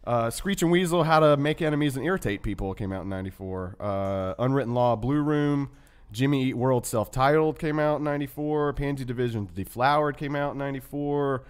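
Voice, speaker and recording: A man with a mid-pitched voice talks casually as a podcast co-host. He is enthusiastic and swears easily as he runs through things he loves. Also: a little fast, clear, resonant tone. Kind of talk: casual chat listing favorite albums and films.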